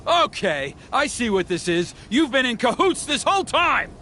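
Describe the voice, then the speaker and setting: Zealous villain elder, bright sharp voice, slow and dramatic, swings up and down. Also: male voice, very high-pitched, big pitch swings, a little hiss or hum, exaggerated voice. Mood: indignant. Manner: theatrical, with a menacing laugh. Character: fanatical elder man, scheming and zealous. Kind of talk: video game voice acting.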